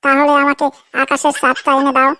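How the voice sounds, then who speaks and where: A woman's voice: sits high, monotone, fast talker, pushed and bright, slight background noise. A woman voicing a coy, demanding young girlfriend in a Bengali comedy cartoon, whiny and pleading, often repeating herself.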